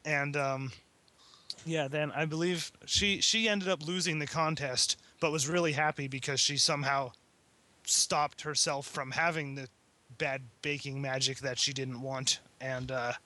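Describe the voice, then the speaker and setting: Bright-voiced man, halting casual talker. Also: fairly high pitch, fairly even intonation, no echo. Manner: hesitant, halting delivery. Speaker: podcast panelist. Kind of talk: casual chat, retelling a story.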